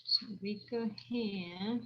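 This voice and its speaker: A woman's voice: medium pitch, takes it slowly, muffled recording. A woman from El Salvador hosting a live online origami lesson, explaining in a friendly, meandering way with restarts. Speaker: workshop host.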